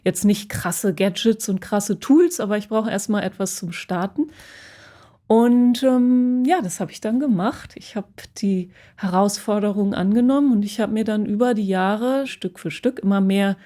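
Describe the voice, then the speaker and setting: A woman's voice: mid-range voice, moderate pace, dark tone. A woman musician talking casually about her own experiences in an interview, reflective and searching for her words. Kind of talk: casual personal interview talk.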